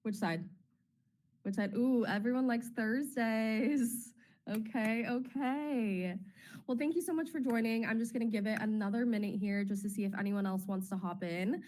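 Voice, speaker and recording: A woman in her thirties hosting a webinar in a bubbly, chatty way, her voice slightly raspy as she gets over a cold. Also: on the high side, moderate pace, darkish tone. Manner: bubbly, chatty, many ums. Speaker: webinar host, marketing officer. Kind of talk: casual presenting on a webinar.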